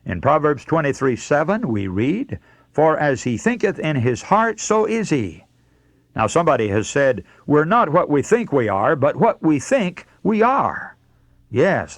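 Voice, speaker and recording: A man's voice: fairly high pitch, big pitch swings, measured pace, dry recording. An American man preaching a radio sermon, speaking as the host in an earnest, emphatic, teaching manner. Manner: earnest, emphatic, didactic delivery. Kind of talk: radio sermon with scripture readings.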